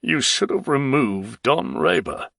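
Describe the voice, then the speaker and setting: A man's voice: mid-range voice, big pitch swings, slow, creaky, bright, forceful tone, no room sound, clean studio sound, heavily acted. A man narrating an audiobook, voicing a stern, curt, commanding senior nobleman who speaks sharply and emphatically. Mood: sharp accusation.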